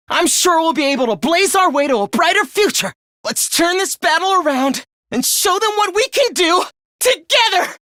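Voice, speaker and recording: Earnest anime game schoolboy leader, youngish high voice, super animated, really dry studio sound. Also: male voice, no room sound. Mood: rousing, determined. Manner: earnest, expressive, polite. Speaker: video game voice actor. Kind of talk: animated game voice lines, anime-style dub acting.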